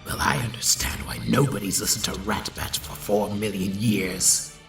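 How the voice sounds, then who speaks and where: An actor playing a scheming, sneering robot villain with a sharp, raspy, mocking voice. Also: male voice, fairly low pitch, fairly even intonation, normal speed, echoey room, recorded from a distance, soft background music. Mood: scornful.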